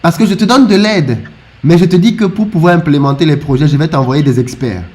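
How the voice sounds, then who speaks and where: Animated man with stammered restarts. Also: fairly high pitch, a little fast. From Africa. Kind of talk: casual discussion.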